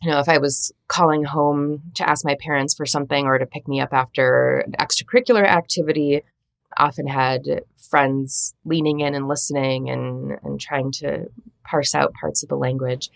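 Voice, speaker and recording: A woman from Minnesota whose first language is Latvian talks in a low voice with a gravelly, creaky edge. She tells stories in an easygoing, reflective way, as an interview guest. The tone is conversational and unhurried. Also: steady tone, dry recording. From Minnesota, United States. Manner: reflective, storytelling, warm laughs. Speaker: translator and editor, interview guest.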